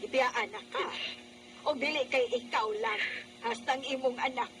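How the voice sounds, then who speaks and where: A worried, scolding older mother with a Cebuano accent in a radio drama. The woman's voice is high and exasperated, and the recording is plainly noisy. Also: moderate pace, fairly bright tone. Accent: Cebuano Filipino. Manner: nagging, exasperated.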